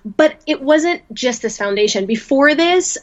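A woman's voice: sits high, expressive, unhurried, dull audio. A woman from rural Louisiana, talking casually as a podcast guest, rambling and laughing often as she restarts her sentences. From rural Louisiana, USA. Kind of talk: casual interview talk.

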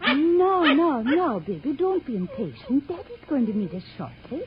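An actress playing a melodramatic, gushing unfaithful wife in 1940s radio style. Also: female voice, high voice, sing-song, normal speed, a crowd in the background. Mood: cooing, doting. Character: unfaithful wife, cooing at her pet dog, theatrical. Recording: muffled, noisy old radio recording.

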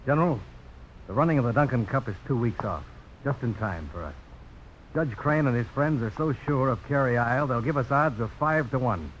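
A male actor voices a bold frontier planter who gambles with a cool head. He speaks plainly and without hurry at a middling pitch. The old radio drama sound is dull, with a light bed of noise. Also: animated, brisk, natural voice. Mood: confident. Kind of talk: 1950s radio drama acting. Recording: muffled, noisy old radio broadcast.

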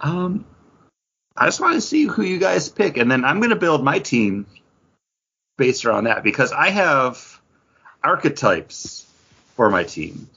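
A man's voice: medium pitch, big pitch swings, a little slow, gravelly, pauses often, sharp tone, slightly rough. A man hosting a podcast in a casual, conversational way. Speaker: podcast host. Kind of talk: casual chat about comic books. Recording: dull, lo-fi podcast audio.

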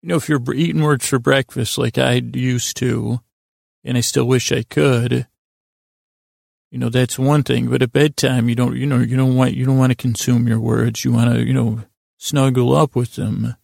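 A man's voice: medium pitch, flat delivery, moderate pace, gravelly, darkish tone, no echo, clean studio sound. A man hosting a bedtime podcast, rambling in a goofy, self-deprecating way, stammering and interrupting himself. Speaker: podcast host.

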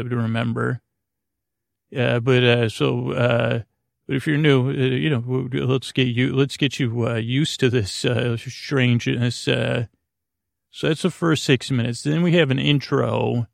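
A man with a creaky, gravelly voice and a very flat delivery, recorded close and dry. He hosts an unscripted bedtime podcast, meandering through half-finished thoughts, stammering and circling back as he loses his thread. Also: medium pitch, dry recording. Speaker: podcast host. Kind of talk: rambling, unscripted bedtime monologue.

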